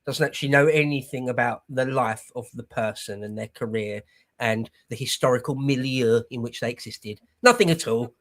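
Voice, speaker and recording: A man's voice: medium pitch, moderate pace, a bit bright. A British man co-hosting a political show, giving casual, opinionated commentary in a blunt, scornful way.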